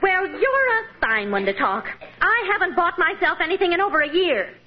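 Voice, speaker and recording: An actress playing an anxious housewife fretting over unpaid bills, in 1940s radio acting on a muffled old broadcast. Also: female voice, very high-pitched, big pitch swings, moderate pace. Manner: anxious, pleading.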